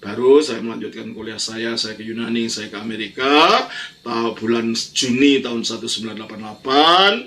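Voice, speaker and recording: A man's voice: medium pitch, normal speed, sharp tone. An older man, teaching religion as a priest in an informal question-and-answer style, emphatic and prone to repeating himself. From Indonesia. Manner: emphatic, repeats phrases, explanatory with colloquial tags.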